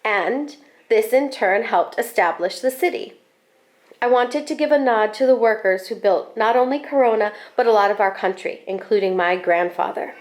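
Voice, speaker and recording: A woman with a fairly high voice reads a picture book aloud for children. Her phrasing is expressive and deliberate, and she stretches out words to bring the story to life. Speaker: school staff member reading a picture book aloud.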